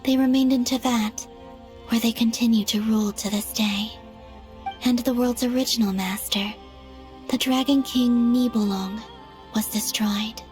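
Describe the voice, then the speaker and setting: Woman doing gentle sad young goddess, airy and a bit high, slow, game cutscene w music behind. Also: fairly even intonation, lightly acted. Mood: hesitant, uneasy. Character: soft-spoken, melancholy young female moon goddess. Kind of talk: dramatic game cutscene acting. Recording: background music under the voice.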